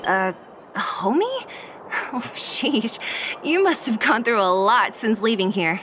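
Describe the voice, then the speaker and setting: A woman's voice: on the high side, sing-song, takes it slowly, a bit bright, a little hiss or hum, heavily acted. An actress playing a tough, blunt young woman in a video game, casual and dryly reluctant. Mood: wry, casual. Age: young adult. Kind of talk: video game dialogue acting.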